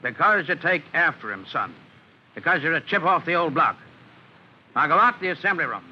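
A man acting a gruff, fatherly American fire captain in an old-time radio drama, on a noisy vintage recording. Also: a little high, expressive, brisk, highs cut off, lightly acted. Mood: kindly, measured.

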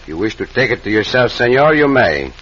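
A dull vintage radio western recording. a gallant Mexican cowboy hero, confident and courteous, with a Mexican accent. His voice is quick and forceful, his phrasing formal and sprinkled with Spanish. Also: mid-range voice, big pitch swings. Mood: calm, defiant. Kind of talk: old-time radio western acting. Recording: muffled vintage radio with faint hiss.

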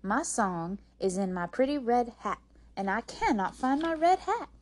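A woman reading a storybook, voicing a bossy, excitable sister who is quick to fuss, in an exclamatory, dramatic way. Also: medium pitch, natural voice. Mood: fretful.

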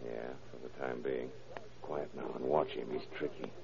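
A very low-voiced police detective takes charge, calm and shrewd. He is gruff and wry in the old 1930s radio drama manner, on a muffled vintage broadcast recording. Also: normal speed. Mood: low, commanding.

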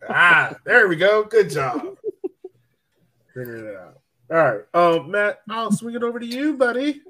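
A man's voice: high-pitched, sing-song, a little slow, bright, forceful tone. A man hosting a sports podcast, chatting casually in a joking, playful way. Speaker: podcast host.

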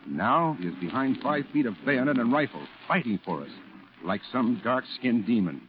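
An actor playing a solemn war correspondent, narrating a 1940s radio drama in a grave, declamatory way. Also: male voice, medium pitch, expressive, moderate pace, a little hiss or hum, lightly acted. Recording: dull old radio recording with music.

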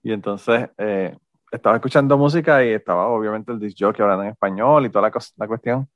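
A man's voice: fairly low pitch, quick pace, no echo, narrow, tinny audio. A man with a Puerto Rican accent chatting casually, joking and swearing loosely as he tells stories. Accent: Puerto Rican Spanish. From Puerto Rico. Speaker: former university instructor. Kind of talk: casual chat.

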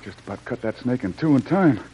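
A man's voice: medium pitch, lively intonation, fast talker, mellow, dull audio, natural voice. A man playing a brave, protective jungle adventurer who takes charge and reassures, in old-time radio serial style. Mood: concerned, reassuring. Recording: noisy old radio drama recording.

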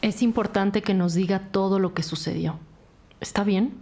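A woman's voice: mid-range voice, moderate pace, darkish tone, lightly acted. A woman acting a businesslike, authoritative police detective in an audio crime drama, polite, direct and formal. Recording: music bed behind the voice.

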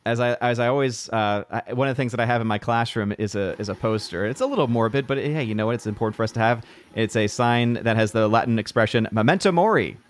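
A man's voice: medium pitch, fast, few pauses. A man hosting a casual live-stream chat, talking loosely with lots of laughs and restarts. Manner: chatty, laughs often, restarts sentences. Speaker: host.

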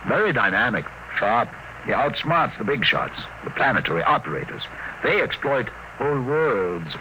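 An actor playing a fussy, admiring aide to a tycoon, emphatic and given to repeating himself, in old-time radio drama style. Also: male voice, mid-range voice, very animated, moderate pace, bright, forceful tone. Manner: emphatic, repeats words for effect. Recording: noisy vintage radio broadcast.

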